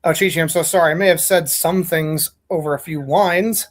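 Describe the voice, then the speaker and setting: A woman reading the part of a flustered, excitable, apologetic woman in a fan fiction story. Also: deep voice, fairly quick. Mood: flustered apology. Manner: flustered, gushing.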